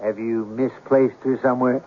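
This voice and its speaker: A man's voice: medium pitch, steady tone, normal speed, a little hiss or hum, natural voice. Grandiloquent man of letters played by a male actor, genial and formal, middle-register voice, vintage muffled radio drama. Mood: curious. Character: pompous, courteous, eccentric gentleman writer. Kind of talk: 1970s radio drama acting. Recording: dull old radio broadcast.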